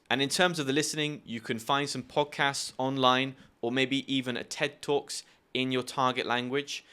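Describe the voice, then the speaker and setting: A man's voice: medium pitch, bright, forceful tone, clear, resonant tone. A young man hosting a friendly language-learning lesson, telling stories and giving tips in an upbeat, chatty way. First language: English. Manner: upbeat, chatty storytelling with quick asides. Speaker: language teacher and video host. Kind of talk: friendly explanatory video lesson.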